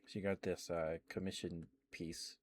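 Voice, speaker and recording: A man with a fairly low voice hosts a casual ASMR stream. He talks close to the microphone in a loose, rambling way. He addresses his viewers affectionately, often with pet names. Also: level tone, a little slow, slight background noise.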